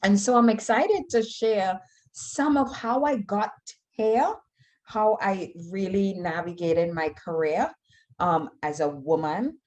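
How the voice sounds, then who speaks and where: A middle-aged woman from Trinidad and Tobago, an aerospace engineer giving an online talk in an earnest, measured way with frequent fillers. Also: medium pitch, darkish tone. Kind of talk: online talk answering audience questions.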